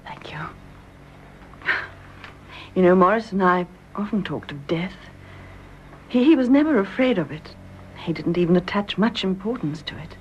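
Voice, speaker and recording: A woman's voice: lowish voice, animated, normal speed, long pauses, natural voice. A woman with a British accent playing a gracious, fragile young widow in a radio drama, polite and emotional. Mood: sad, gracious. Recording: muffled radio recording with faint background noise.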